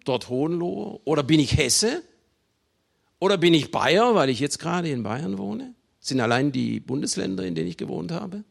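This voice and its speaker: A man from Germany preaching a sermon, with emphatic delivery, deliberate pauses and conversational asides to his listeners. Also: fairly high pitch, lively intonation, vocal fry, breathy edge. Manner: emphatic, with dramatic slow phrasing and pauses. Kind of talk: sermon to a congregation.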